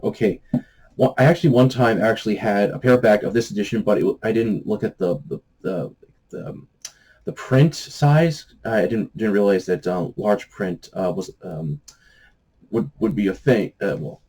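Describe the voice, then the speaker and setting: Low, mellow-voiced man chatting about books. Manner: halting, searching for words and trailing off. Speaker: book review vlogger. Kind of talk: casual rambling book chat.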